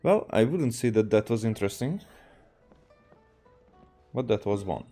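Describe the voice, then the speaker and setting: A man doing a let's play, reading game dialogue aloud in a halting way and adding comments. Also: lowish voice, expressive, mellow, faint music behind. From Hungary. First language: Hungarian. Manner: halting, stumbling over lines while reading. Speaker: game streamer.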